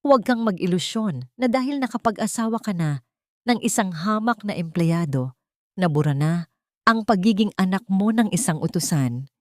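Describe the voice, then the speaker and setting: A woman's voice: mid-range voice, animated, moderate pace, no room sound, studio quality, lightly acted. A woman voicing a cruel, haughty rich woman who speaks with cold, condescending menace in an audio story. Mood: cold, threatening.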